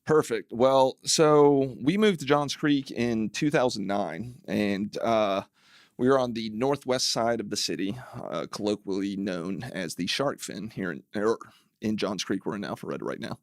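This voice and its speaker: A man's voice: lowish voice, a little slow, no room sound, studio quality. A man, a city council member, speaking as a podcast guest in a wry, analytical way with many fillers. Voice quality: gravelly. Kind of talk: casual interview chat.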